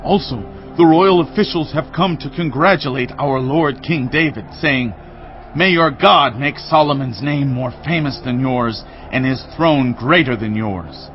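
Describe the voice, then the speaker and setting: An actor playing an urgent, earnest messenger who reports emphatically in a dramatised audio Bible. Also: male voice, medium pitch, unhurried, lightly acted. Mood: urgent, grave. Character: male messenger, a priest's son, urgent, earnest. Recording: dull lo-fi audio, clear music behind.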